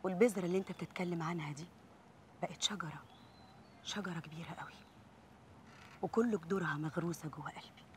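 Actress doing a soft-spoken young egyptian girl in love, talks fast. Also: on the low side, slight background noise, lightly acted. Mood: tender. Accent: Egyptian Arabic. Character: young woman in love, tender and earnest. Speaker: Nora.

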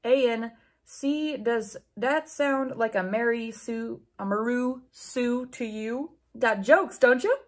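Woman reading as a defensive, indignant teen fanfic author. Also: a little high. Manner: defensive, mocking read, halting over misspellings.

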